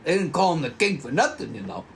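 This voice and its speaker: A man's voice: fairly high pitch, sing-song, quick pace, gravelly, fairly bright tone, full character voice. A man playing a lazy, gossiping police officer in an amateur script read, with faint noise. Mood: wistful admiring. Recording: noisy room recording.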